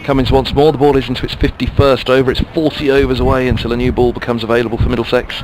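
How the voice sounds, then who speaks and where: A man's voice: medium pitch, level tone, very fast. A man calling cricket ball by ball for radio, his voice in a middle register. He muses and chats between deliveries and picks up the pace when the bowler runs in. Faint outdoor noise sits behind him. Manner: chatty, musing between deliveries. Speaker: cricket commentator.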